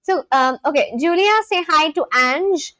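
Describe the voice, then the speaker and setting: A woman with an Indian accent hosts a live stream and chats with her viewers in a loose, friendly way, laughing often between remarks. Her voice sits high and bright. The sound is dry and close, with no room ring. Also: measured pace, no echo. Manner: chatty, laughs often, talks straight to chat. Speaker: livestream host.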